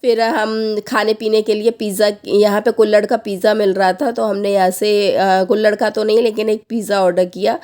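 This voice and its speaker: Woman in her thirties, family vlogger, sore husky throat, middle-pitched voice, chatty narration that pauses a lot while reading off prices. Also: level tone, darkish tone. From Lucknow, India. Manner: chatty, lists prices with frequent hesitant pauses. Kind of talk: voice-over narration of a family outing.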